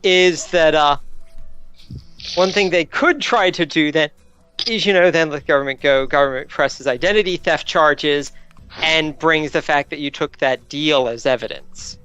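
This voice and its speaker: A man with a fairly high, smooth voice talks casually as a tabletop player, probing and analytical. The audio is slightly dull, with music underneath. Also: unhurried, a bit bright.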